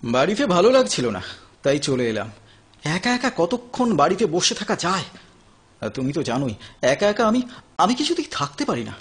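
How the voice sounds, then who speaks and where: An actor playing a lonely, anguished man of thirty in an audio play, halting and emotional, repeating his phrases. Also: a little high, huge pitch swings, fairly bright tone, husky, full character voice. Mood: lonely, pained. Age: 30s. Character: lonely, anguished thirty-year-old son of neglectful parents. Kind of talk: Bengali audio play acting.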